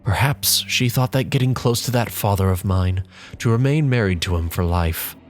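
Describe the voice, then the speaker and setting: Casting note: man, very low register, dramatic first-person reading, measured and bitter in tone, faint music underneath. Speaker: reader.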